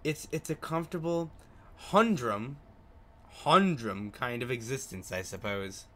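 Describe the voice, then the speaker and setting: A man's voice: medium pitch, a little slow, sharp tone. A man hosting a gaming video, casually commenting and reading game text aloud, sometimes stumbling over words.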